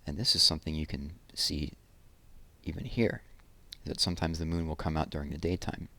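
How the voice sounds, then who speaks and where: A man's voice: extremely low pitch, steady tone, fairly quick, sharp tone. A man hosting a read-aloud, reading children's poems expressively and explaining things simply to young listeners.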